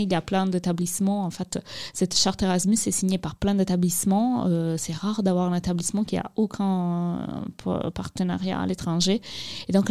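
Mellow-voiced hesitant woman on radio. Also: lowish voice, no room sound. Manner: hesitant, many fillers and restarts. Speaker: radio guest, youth program presenter. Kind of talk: casual radio interview. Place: radio studio.

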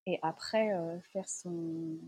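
A woman's voice: on the low side, steady tone, slow, darkish tone, slightly rough, poor audio. A middle-aged woman from Iran with an Iranian accent, speaking hesitantly as a journalist giving a reflective interview and searching for her words. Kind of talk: reflective spoken interview testimony.

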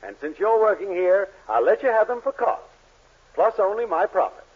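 A pompous, avuncular, tight-fisted shopkeeper in a 1940s radio comedy. His fairly high voice swoops dramatically up and down. The old recording is muffled. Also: very animated, moderate pace, very noisy. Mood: smooth, persuasive. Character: pompous, tight-fisted male drugstore owner and boss. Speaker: sitcom actor.

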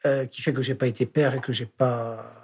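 A man in his sixties giving a candid interview as an artist, speaking frankly and reflectively and often trailing off into pauses. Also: mid-range voice, level tone. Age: 60s.

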